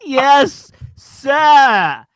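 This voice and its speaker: Casting: male actor as a dutiful, befuddled Victorian servant, high voice, hesitant, radio spoof, noisy clicky line. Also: very animated, a bit bright, shouting, heavily acted. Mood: eager, muddled. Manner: slow, hesitant, repetitive. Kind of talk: improvised comic parody of old-time radio drama.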